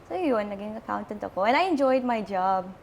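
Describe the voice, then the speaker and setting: A woman's voice: mid-range voice, animated, a little fast, vocal fry. A young woman in her twenties from Australia, chatting in a bubbly way as an interview guest, switching between English and Tagalog. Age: 20s. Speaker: pageant contestant guest. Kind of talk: casual interview chat.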